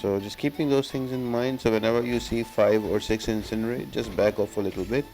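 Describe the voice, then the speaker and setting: A man's voice: fairly low pitch, brisk, mellow. A man giving instructional walkthrough commentary on mobile game fights, explaining each step as a guide. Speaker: gaming video creator giving guides. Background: music.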